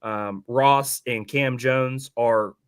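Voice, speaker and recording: Hoarse man talking sports. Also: mid-range voice, fairly even intonation, drawn-out words. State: hoarse from yelling at the game. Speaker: sports podcast host. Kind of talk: casual sports talk and game breakdown.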